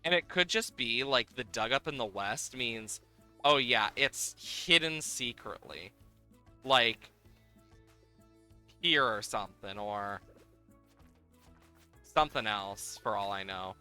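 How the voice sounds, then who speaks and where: A man live-streaming a game, chatting casually with viewers in an animated, exclamatory way and sometimes swearing. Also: mid-range voice, slow pace, long pauses, clean tone, soft background music.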